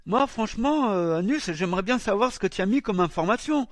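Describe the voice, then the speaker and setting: A man's voice: high voice, expressive, brisk, a little hiss or hum. A man hosting a small web radio show, talking in a mocking, defiant way with many hesitations. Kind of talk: commenting on recorded CB radio chatter.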